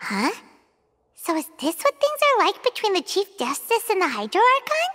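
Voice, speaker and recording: A woman voicing a tiny, excitable, childlike fairy companion in a video game, chatty and blunt, exclaiming and speaking of herself in the third person. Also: very high-pitched, normal speed, big-room sound, soft background music, exaggerated voice. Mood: curious. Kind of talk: video game character acting.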